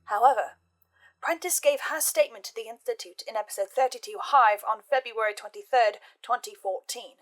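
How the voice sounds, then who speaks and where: A woman hosting a scripted horror analysis, speaking as an investigator in a measured, deliberate way with dramatic pauses. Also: a little high, fairly bright tone. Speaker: host of a fan theory channel. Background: music.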